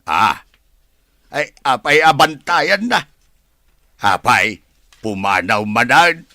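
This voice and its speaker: An actor playing a grumbling, sceptical older father in a radio drama, with exclamatory, drawn-out delivery. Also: medium pitch, very animated, sharp tone, no room sound, clean studio sound, full character voice.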